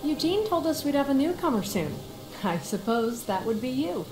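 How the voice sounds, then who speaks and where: An actor voicing a helpful, easygoing island villager in a video game, speaking in an obliging, conversational way. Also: male voice, extremely high pitch, big pitch swings, moderate pace, over music, exaggerated voice. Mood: friendly, helpful. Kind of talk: video game cutscene acting. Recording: echoey, with loud ambient vehicle noise.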